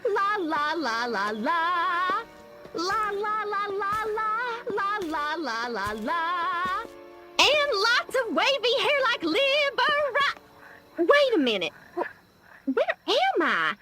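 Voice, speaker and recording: A woman's voice: very high-pitched, big pitch swings, slow, over loud music, full character voice. A woman voicing a ditzy, easily swayed young beauty queen in a comic video game, airheaded and singsong. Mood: dreamy, puzzled.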